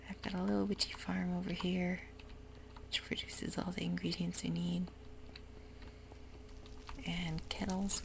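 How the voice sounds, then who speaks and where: A woman speaks in a slow, gravelly whisper, hushed and soft, with many little ums. Her voice sits a touch low, and keys click behind her as she plays a game at her desk. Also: a little hiss or hum. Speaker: ASMR gaming creator. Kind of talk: whispered ASMR gameplay narration.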